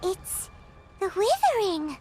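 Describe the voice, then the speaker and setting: Squeaky, very high fairy girl. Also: wildly swooping pitch, slow, loud music behind the voice, exaggerated voice. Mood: uneasy. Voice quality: squeaky, childlike. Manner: refers to self in third person, exclamatory. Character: tiny excitable childlike fairy companion girl. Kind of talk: video game voice acting.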